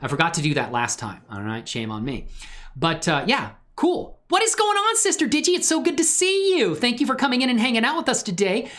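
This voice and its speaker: A man's voice: very high voice, a little fast. A man hosting a casual livestream chat, talking in an effusive, grateful manner with his viewers. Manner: effusive, excitedly whooping greetings to viewers, grateful. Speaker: live stream host and 3D animation creator.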